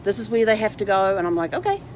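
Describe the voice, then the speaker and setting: A woman's voice: mid-range voice, very animated, fast, a little mellow, a little hiss or hum, poor audio. A woman talking casually and informally in a chatty, rambling livestream vlog style. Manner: chatty, informal, talks to her dog in asides. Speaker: vlog host. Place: inside a parked RV.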